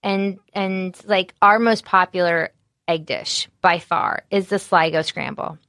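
Bright, loud-voiced woman chef. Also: fairly low pitch, a little slow, dry recording, studio quality. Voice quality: naturally loud voice. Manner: animated, candid, many fillers. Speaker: executive chef. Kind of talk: casual interview conversation.

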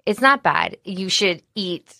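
Bright, loud-voiced woman chef. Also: medium pitch, slow pace, creaky, dry recording, clean studio sound. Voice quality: naturally loud voice. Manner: animated, candid, many fillers. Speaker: executive chef. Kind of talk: casual interview conversation.

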